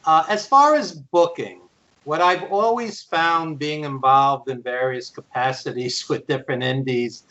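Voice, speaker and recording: A man's voice: a little high, a little slow, bright, forceful tone, dull audio. A middle-aged American man co-hosting a show, commenting and asking questions conversationally. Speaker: podcast co-host. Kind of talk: conversational interviewing.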